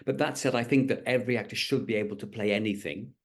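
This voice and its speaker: A man's voice: mid-range voice, fast. A middle-aged man with a light Welsh accent, a stage actor answering interview questions thoughtfully, with frequent restarts. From Wales. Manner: thoughtful, many restarts and fillers.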